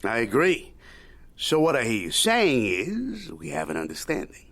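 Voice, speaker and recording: An actor playing a shrewd, folksy old media mogul in an audio drama, haggling in a wry, needling, Yiddish-peppered way. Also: male voice, a little high, wildly swooping pitch, normal speed, gravelly, fairly bright tone, full character voice. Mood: coaxing, sly. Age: older.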